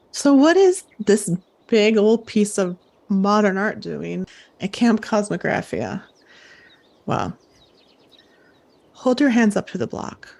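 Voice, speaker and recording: Female host as camp guide. Unhurried, deliberate, encouraging delivery that leads listeners through imagined scenes. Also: medium pitch, a little mellow. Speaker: host, playing a camp director guiding campers. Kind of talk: guided imagery coaching, storytelling.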